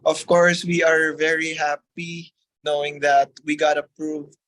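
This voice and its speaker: A man's voice: fairly high pitch, level tone, unhurried, fairly bright tone, rough recording. A man from the Philippines with a Filipino accent and Tagalog as his native language, speaking politely as a guest in a testimonial interview.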